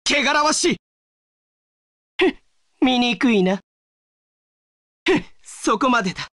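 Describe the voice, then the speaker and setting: A man's voice: extremely high pitch, expressive, slow pace, a bit bright, no echo, clean studio sound, full character voice. A man voicing a vain, disdainful, effete young knight in an anime battle game. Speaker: video game voice actor.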